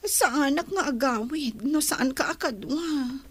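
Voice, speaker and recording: A woman's voice: high voice, measured pace, no echo. A woman playing an ailing, pleading young daughter in a radio drama, with a Filipino accent. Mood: plaintive, resigned. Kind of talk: Ilocano radio drama acting.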